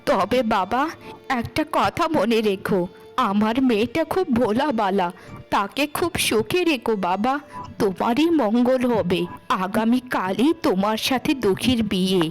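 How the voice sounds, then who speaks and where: Cartoon casting: woman as kindly village mother, humble, earnest, gentle delivery. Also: fairly high pitch, lively intonation, unhurried, soft background music. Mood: tender blessing. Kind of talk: cartoon voice acting for children's moral story.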